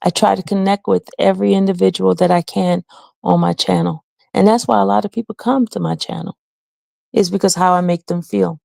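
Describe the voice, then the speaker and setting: A woman streamer speaks from the heart to her viewers, earnest and personal, her voice carrying a gravelly fry at a middle pitch. The sound is dry and close, with no room echo. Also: fairly even intonation, fairly quick, darkish tone. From Haiti. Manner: earnest, heartfelt, reads chat aloud. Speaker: channel host. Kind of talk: casual livestream talk to viewers.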